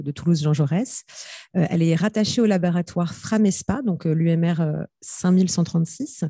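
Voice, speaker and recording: A woman's voice: fairly low pitch, dark tone, no room sound, studio quality. Hesitant woman chairing a conference panel. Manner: hesitant, many fillers and restarts. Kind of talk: introducing a speaker at a research conference.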